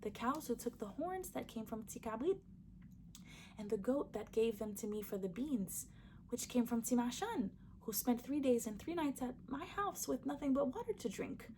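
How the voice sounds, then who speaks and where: A woman's voice: a little high, animated, normal speed, warm tone, slight background noise, pitched above the performer's own voice, natural voice. A woman voicing a frightened old lady whose voice trembles in a storybook tale. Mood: trembling. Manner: trembling, hesitant. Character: old lady Timadam, timid when frightened.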